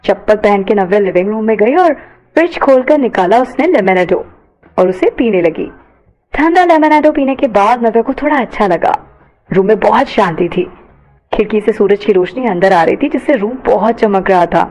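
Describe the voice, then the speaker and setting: A woman's voice: mid-range voice, expressive, normal speed, a little mellow, faint music behind, rough recording. A woman reading scripted narration for an audio story.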